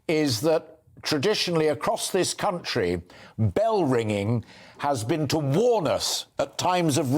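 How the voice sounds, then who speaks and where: A middle-aged man with a British accent interviewing on a live news broadcast in an effusive, wordy, emphatic manner. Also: on the high side.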